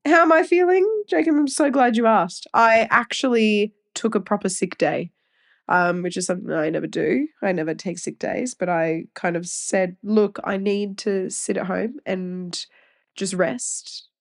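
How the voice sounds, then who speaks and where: A woman's voice: mid-range voice, normal speed. Aussie woman with a stuffy nasal voice, teasing her co-host, chatty and laughing a lot. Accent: Australian. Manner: playful, teasing, laughs often. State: nasal from a cold. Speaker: podcast co-host. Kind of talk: casual chat.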